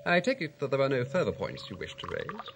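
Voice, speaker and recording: A man playing a cold, businesslike villain in an audio drama, formal and quietly threatening. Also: medium pitch, huge pitch swings, a little fast, creaky, background noise. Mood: cold menace.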